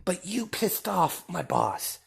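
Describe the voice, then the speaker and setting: A woman voicing a gleeful, sadistic young male villain in a fan story, with a mocking, drawn-out, often whispered delivery. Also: fairly low pitch, big pitch swings, vocal fry, husky, pitched above the performer's own voice, full character voice. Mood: mocking menace.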